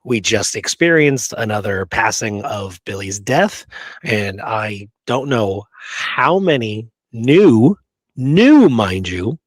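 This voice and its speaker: A man's voice: fairly low pitch, sing-song, slow pace, dry recording. Man chatting emphatically, close dry sound. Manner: emphatic, rhetorical check-ins like "right?" and "okay?". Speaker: podcast co-host. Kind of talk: casual conversational discussion.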